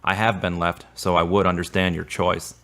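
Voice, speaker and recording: A man playing a concerned, devoted male friend with a gentle, earnest manner in a radio drama. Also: low voice, flat delivery, moderate pace.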